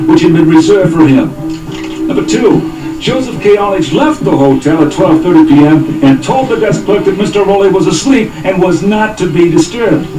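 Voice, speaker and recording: An actor playing an earnest police detective who reads out numbered facts like an official report, in a parody of old police radio drama. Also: male voice, sits high, distant mic, lightly acted. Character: earnest, eager police detective reporting to his senior partner. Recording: roomy cassette copy with music behind.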